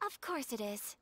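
A woman playing a polite, gentle young noblewoman in a video game, speaking in a courteous, formal manner. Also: high voice, a little hiss or hum, heavily acted. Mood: gracious. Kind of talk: English video game voice acting.

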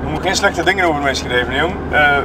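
A man's voice: a little high, fast talker, natural voice. An actor playing a jittery, jovial Flemish man in an audio drama, speaking informally and hesitantly. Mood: evasive, nervous. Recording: noisy outdoor street ambience with traffic.

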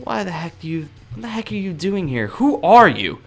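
A young man improvising in-character gameplay roleplay in a cocky, dramatic, excitable manner. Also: high voice, moderate pace, faint music behind. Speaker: gaming roleplay video creator.

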